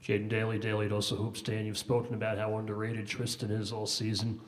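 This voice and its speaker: A man sports reporter puts a measured question to the coach, leading with statistics. His voice sits low and is heard from a distance. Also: monotone.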